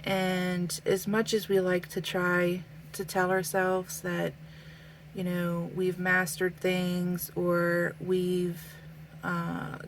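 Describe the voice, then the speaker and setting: A woman's voice: on the low side, slight background noise. A young adult woman vlogging as she draws, talking casually and thoughtfully with lots of fillers, a little sniffly. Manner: slow, thoughtful, with many fillers. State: sniffly with a stuffy nose. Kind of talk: casual talk while drawing.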